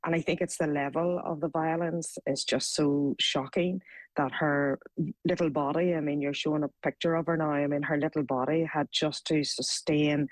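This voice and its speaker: A woman's voice: low-pitched, fairly even intonation, moderate pace, dry recording, rough recording. A woman with a Northern Irish accent, a social worker guest speaking earnestly and somberly with hesitant fillers.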